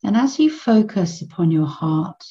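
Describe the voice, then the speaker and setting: A woman guiding a children's meditation in a gentle, soothing manner, in measured phrases with long pauses between them. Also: medium pitch, sing-song, dull audio. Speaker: meditation guide.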